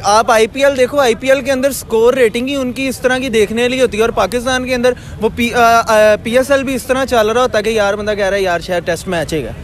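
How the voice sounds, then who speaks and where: Casting: young man, extremely high voice, blunt street opinions outdoors. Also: brisk, few pauses, faint music behind. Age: young adult. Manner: blunt, colloquial. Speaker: street interviewee. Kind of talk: casual chat.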